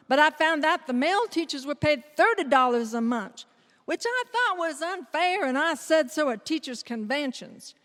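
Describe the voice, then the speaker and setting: A woman playing a resolute nineteenth-century suffragist orator, speaking deliberately and emphatically in a readers theater performance. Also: high-pitched, fairly bright tone. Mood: plain, proud. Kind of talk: readers theater historical monologue, oratorical delivery. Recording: echoey hall with long reverb.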